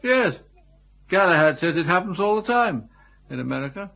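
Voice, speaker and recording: An amiably muddled elderly lord from a radio comedy, with an upper-class English accent. His voice sits high for a man and moves about freely as he dithers and repeats himself. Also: very animated, normal speed, gravelly, bright, forceful tone, heavily acted. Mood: mildly matter-of-fact. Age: older. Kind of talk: BBC radio comedy drama acting.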